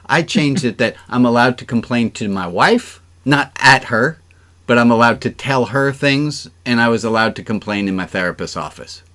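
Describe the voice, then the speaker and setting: A male rabbi with a mid-pitched voice leads an interactive, informal service. He talks conversationally, jokes lightly and encourages participants as he guides them through exercises. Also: lively intonation, normal speed, a bit bright. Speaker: rabbi leading an online service.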